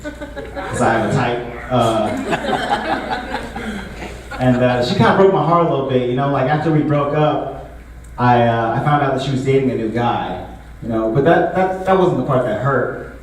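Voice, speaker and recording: A young man performing a stand-up comedy set in a relaxed, conversational way, full of filler words. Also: medium pitch, normal speed, pauses often. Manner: laid-back, conversational, frequent filler words. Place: comedy show venue with a live audience. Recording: echoey room, distant mic, audible noise.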